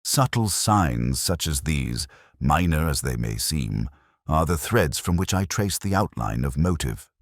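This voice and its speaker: Male actor, cerebral detective, extremely low voice, contemplative scripted mystery narration, measured literary delivery, dry close sound. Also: sing-song, no echo, heavily acted. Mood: quietly watchful.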